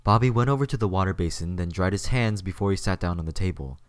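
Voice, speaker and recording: A man's voice: sits low, fast talker, no room sound. A man reading scripted story narration as the narrator of an audio drama.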